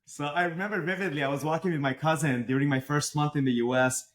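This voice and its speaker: A man's voice: a little high, fairly even intonation, fast. A middle-aged man with a Persian accent, speaking emphatically as an interview guest and asking himself rhetorical questions. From Iran. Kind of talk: podcast interview.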